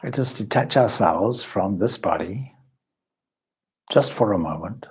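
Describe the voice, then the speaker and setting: Male meditation guide: very slow delivery, heavily breathy and husky, calm and instructive. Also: on the low side. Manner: calm, measured, instructive with deliberate pauses. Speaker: swami leading a guided meditation.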